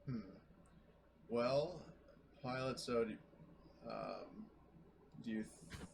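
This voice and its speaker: A man's voice: medium pitch, huge pitch swings, very slow, creaky, a little hiss or hum. A man playing a tabletop game, chatting casually with the others as he decides on moves.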